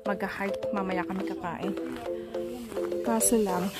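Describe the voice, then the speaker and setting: Female Filipino vlogger, slow and chatty delivery, mid-range pitch, loud music underneath. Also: fairly even intonation. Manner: chatty, unscripted. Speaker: travel vlogger. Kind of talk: casual vlog narration.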